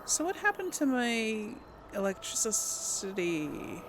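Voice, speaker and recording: A woman's voice: a little high, big pitch swings, slow, noisy background. A woman playing a video game and giving casual commentary, thinking aloud informally for her viewers. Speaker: video game let's player.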